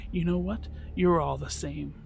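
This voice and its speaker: A man's voice: on the high side, fairly even intonation, unhurried, creaky, noisy background. A man voicing a distrustful young girl in a horror visual novel, insistent and accusing. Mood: defensive, upset.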